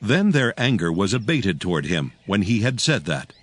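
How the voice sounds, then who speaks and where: A man narrating dramatized scripture in a formal, measured storytelling manner. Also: on the low side, big pitch swings, dry recording.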